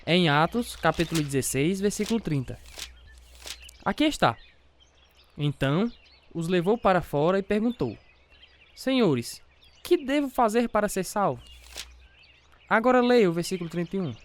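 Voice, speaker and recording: A man's voice: high voice, lively intonation, normal speed, long pauses. A man acting as an earnest former boxer turned evangelist, narrating his life story in a scripted radio drama. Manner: earnest, devout. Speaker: narrator and lead actor.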